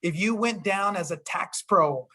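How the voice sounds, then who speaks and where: A government official answering a question in an earnest, storytelling way, with frequent restarts. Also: male voice, high-pitched, a little slow, sharp tone. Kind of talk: virtual town hall talk.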